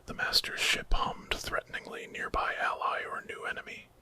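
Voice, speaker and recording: A man narrating a horror story as ASMR in a breathy, dramatic whisper from start to finish. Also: moderate pace.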